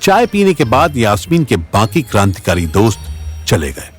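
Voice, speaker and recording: A man's voice: lowish voice, wildly swooping pitch, normal speed, husky. A man narrating a scripted audio drama in a dramatic storytelling style. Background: faint background music.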